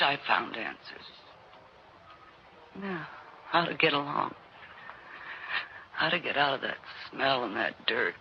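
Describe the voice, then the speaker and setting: An actor playing a jaded, desperate former showman in a 1960s radio drama, with halting, stammering speech that often repeats itself. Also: medium pitch, creaky. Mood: agitated, bitter. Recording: dull, hissy old radio recording.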